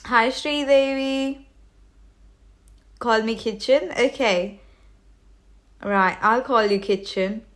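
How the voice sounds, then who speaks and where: A woman giving a live tarot reading at an unhurried, slow pace. She rambles, restarts her sentences and leans on fillers, with a cold starting to catch her voice. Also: a little high, a bit bright. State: coming down with a cold. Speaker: tarot reader hosting a livestream.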